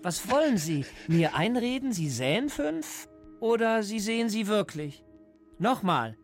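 An actor playing a coldly patient, menacing party interrogator who lectures deliberately and insistently in a radio drama. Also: male voice, high voice, big pitch swings, soft background music, exaggerated voice. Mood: sharp, demanding. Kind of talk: German radio drama acting.

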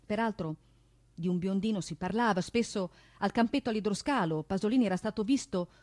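A woman performing a theatrical monologue in a declamatory, deliberate way, with emphatic delivery and dramatic pauses. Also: medium pitch, darkish tone, no echo. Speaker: stage narrator and performer. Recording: piano music accompaniment. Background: live piano.

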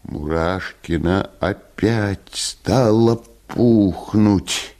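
A man reading a comic short story for radio as an expressive, theatrical narrator. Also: fairly low pitch, drawn-out words.

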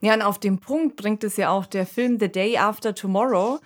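A woman's voice: medium pitch, moderate pace. A woman hosting a conversational podcast discussion, explaining things in an informal way. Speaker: podcast host.

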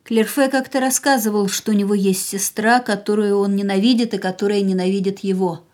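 An actress in a radio play, voicing a grieving young woman in a quiet, stunned inner monologue that often drops to a whisper. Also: medium pitch, fairly even intonation, brisk, close to an everyday voice. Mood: whispering grief.

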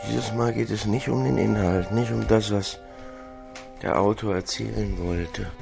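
A man's voice: fairly low pitch, steady tone, a little slow, vocal fry. A man delivering a reflective spoken radio essay, musing and rephrasing as he goes. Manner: musing, repeats and rephrases phrases. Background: music.

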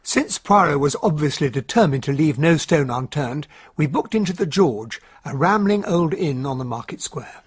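An actor playing a genteel English gentleman, a detective's companion, narrating a radio drama in a well-spoken, measured way. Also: a little high, animated, slightly rough, no room sound. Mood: genial, wry.

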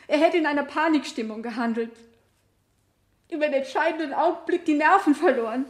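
A female actor plays an anguished wife who feels betrayed, speaking in a high voice. She testifies haltingly yet insistently, full of emotion, in the manner of a vintage radio drama. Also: natural voice. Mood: wounded, anguished. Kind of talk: 1970 German radio play acting.

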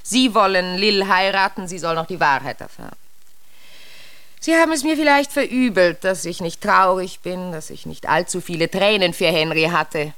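A woman plays a cool, calculating widow in a radio drama. Her voice sits fairly low. She speaks with measured control, letting insinuations land quietly and deliberately. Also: clean tone, natural voice. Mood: determined, cold. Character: cool, calculating widow of a watch shop owner. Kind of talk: German radio play acting.

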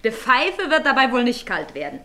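An actress playing a brusque young rural maidservant in a German radio play, with a Silesian accent and an earthy, snappish way of talking. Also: female voice, on the high side, very animated, moderate pace, pushed and bright, exaggerated voice. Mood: mocking.

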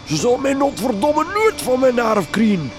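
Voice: mid-range voice, big pitch swings, normal speed, music in the background, lightly acted. A performer playing a stubborn, cursing country villager with a Flemish accent in a comic radio drama. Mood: grumbling, defiant.

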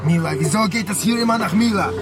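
A man's voice: high voice, quick pace, over music, lightly acted. An actor playing an impatient, edgy man who snaps irritably. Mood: irritable. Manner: irritable, tense.

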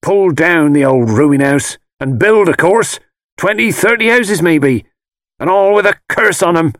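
A man's voice: a little high, animated, measured pace, fairly bright tone, no room sound, studio quality, voice pushed up. A man voicing a malicious, wheedling old woman who tells fortunes, with rustic dialect speech and ominous insistence, in an audiobook. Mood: ominous, gloating. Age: older. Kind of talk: dramatised audiobook character voice.

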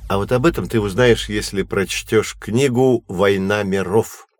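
An actor playing a patient, knowledgeable man who explains astronomy to children in a measured, reassuring way in an educational audio drama. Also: lowish voice, no room sound, close to an everyday voice. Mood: explanatory, patient.

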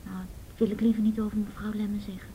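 An actress playing a shy, grieving teenage girl in a radio drama, speaking softly and breathily, halting and guarded. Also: mid-range voice, little pitch movement, slight background noise, natural voice, low-quality recording. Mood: guarded, sad. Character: shy, hesitant, grieving teenage girl, loyal best friend.

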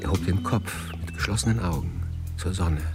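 An actor playing an obsessive, tightly controlled middle-aged man in a radio drama, speaking in measured, literary phrases. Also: low voice, over loud music. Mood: grim, controlled. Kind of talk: radio drama acting with first-person narration.

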